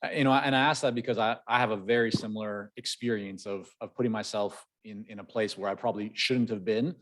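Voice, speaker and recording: A man from Canada, whose first language is Hebrew, hosting a conversational interview in a relaxed way and often repeating words as he thinks. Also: on the low side, quick pace. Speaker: podcast host.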